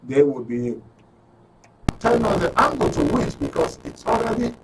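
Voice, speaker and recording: A man's voice: medium pitch, big pitch swings, moderate pace. Nigerian man hosting, slightly distant mic. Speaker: host of a current affairs radio and TV programme. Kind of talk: live talk show presenting. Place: broadcast studio. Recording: noisy studio, distant mic.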